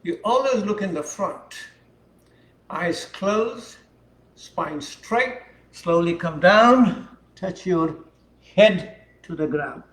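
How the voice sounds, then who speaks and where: A man teaching a yoga class, speaking calmly and unhurriedly while he demonstrates the poses. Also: high-pitched. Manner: calm, unhurried instruction while holding poses. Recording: echoey room, voice from far off.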